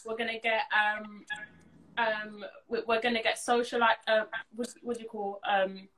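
Bright-voiced woman in casual, earnest chat. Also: fairly high pitch, little pitch movement, normal speed, rough recording. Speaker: event organiser being interviewed.

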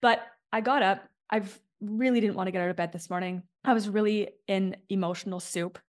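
Slightly raspy woman hosting a podcast. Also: medium pitch, steady tone, brisk. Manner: candid, reflective, keeps checking in with "right?". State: slightly raspy from a chest infection and head cold. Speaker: podcast host and coach. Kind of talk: unscripted solo podcast monologue.

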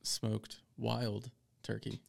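Pria bersuara rendah dan sangat serak. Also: male voice, on the low side, extremely slow, creaky. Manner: relaxed, easygoing storytelling. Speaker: podcast co-host. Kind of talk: casual barbecue podcast chat.

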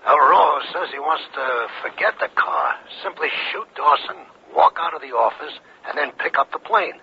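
A gravelly, tough radio henchman. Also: on the high side, lively intonation, normal speed. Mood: businesslike. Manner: clipped, hard-boiled radio talk. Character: tough wary male hit-gang henchman. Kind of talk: 1970s radio drama acting. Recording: dull, noisy two-way radio transmission.

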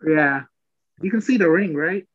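A man co-hosting a casual podcast chat, quick-tongued and swearing casually. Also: a little high, expressive, a bit bright, breathy edge, no echo. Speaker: podcast co-host. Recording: dull, lo-fi dry mic.

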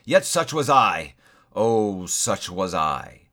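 A man's voice: fairly low pitch, very animated, sharp tone, heavily acted. A man voicing a mournful old ghost in chains. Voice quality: slow, heavy, mournful delivery. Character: doomed old male ghost, solemn and grieving.

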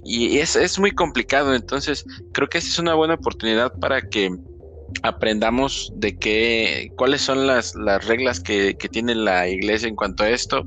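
A man hosting a casual podcast conversation, talking in a halting way with many restarts and fillers. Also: mid-range voice, fairly bright tone, faint music behind. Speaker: podcast host.

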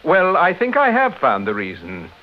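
An actor playing a suave, unruffled gentleman sleuth with an English accent in a mid-century radio drama. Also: fairly high pitch, wildly swooping pitch, moderate pace. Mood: cool, polite. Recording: dull, noisy old radio recording.

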